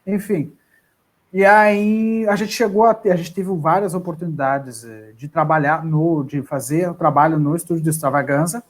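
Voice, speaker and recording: Chatty high-voiced Brazilian actor. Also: male voice, fairly even intonation, moderate pace. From southern Brazil. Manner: rambling, restarts often. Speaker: actor guest. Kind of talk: casual live chat.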